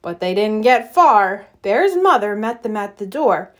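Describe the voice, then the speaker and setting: A woman reading a children's story aloud in an expressive, scripted storytelling style. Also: mid-range voice, unhurried. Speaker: storybook reader.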